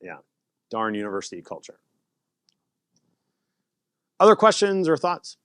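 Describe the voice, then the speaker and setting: A man giving a casual conference talk and answering audience questions, animated and laughing often. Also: medium pitch, unhurried, smooth, no room sound. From United States. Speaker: presenter.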